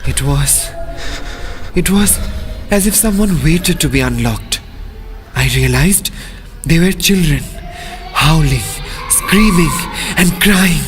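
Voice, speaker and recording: A man's voice: fairly high pitch, expressive, over music. An actor playing a shaken married male traveler who narrates a horror radio play in a theatrical, literary manner. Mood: haunted, intense. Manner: theatrical, literary, deliberate phrasing.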